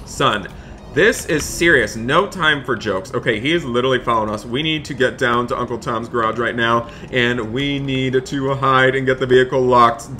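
A man hosting a gaming roleplay as a dad, narrating excitedly in a dramatic, exasperated way and repeating himself. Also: mid-range voice. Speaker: gaming video host playing a dad in roleplay. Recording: background music behind voice. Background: music.

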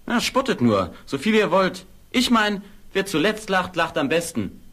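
An actor playing a plucky, good-natured young miller's lad in a fairy tale audio play. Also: male voice, high voice, very animated, unhurried, over music. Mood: defiant.

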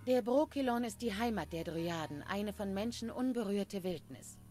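A woman voicing a proud dryad in a video game, speaking in measured, solemn, formal phrases. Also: mid-range voice, lively intonation, a little mellow, faint music behind, lightly acted. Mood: proud, grave. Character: grave female dryad, proud guardian of the forest. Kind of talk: scripted game dialogue.

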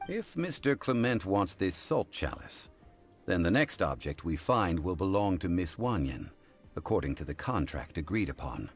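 A calm, erudite video game gentleman, with a low voice that rises and falls expressively. He speaks formally and with measured dignity. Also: soft background music. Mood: composed.

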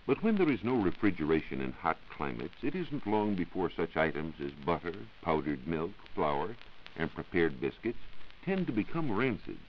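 American male science reporter, vintage 1940s radio; deep, expressive, formal scripted lecture, old recording. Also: moderate pace, lo-fi sound, a little hiss or hum.

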